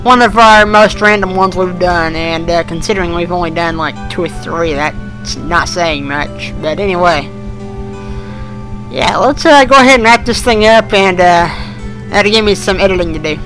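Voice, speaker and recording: A man co-hosting a fan podcast, chatting casually and haltingly as he thinks aloud. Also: high voice, bright, forceful tone, faint music behind, low-quality recording. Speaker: podcast co-host.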